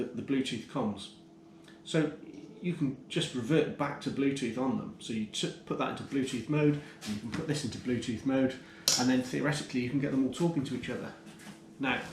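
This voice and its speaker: British man, casual gear review, mid-pitched voice, conversational and enthusiastic, roomy echo, faint noise behind. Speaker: motorcycle vlogger reviewing gear. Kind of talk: casual product review to camera. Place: indoors, in a kitchen.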